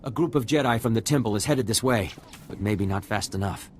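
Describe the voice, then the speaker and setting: An actor playing a reassuring, businesslike rescue coordinator in a video game. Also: male voice, fairly low pitch, fairly quick, slight background noise, close to an everyday voice.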